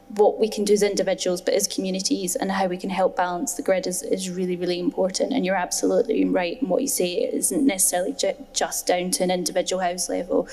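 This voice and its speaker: An earnest woman on a discussion panel, speaking in a lowish, fairly level voice. Her words ring out with a long reverb from a large hall. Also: mellow. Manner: earnest, emphatic, repeats words.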